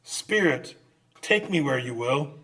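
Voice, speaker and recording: A man playing an anguished miser in an old-time radio drama, halting and pleading. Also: medium pitch, animated, fairly bright tone, distant mic. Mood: awed.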